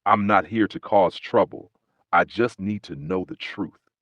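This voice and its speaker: A gentle but determined young woman voiced by a male narrator speaks softly and earnestly in audiobook dialogue. The pitch is low and the sound is dry. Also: a little slow, no echo, highs cut off. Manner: soft, earnest.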